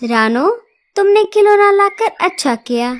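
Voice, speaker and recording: A woman's voice: extremely high pitch, expressive, measured pace. A woman voicing a protective, grandmotherly cartoon aunt bird who is righteous, firm and bossy. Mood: scolding. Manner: firm, admonishing. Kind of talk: animated children's story dialogue.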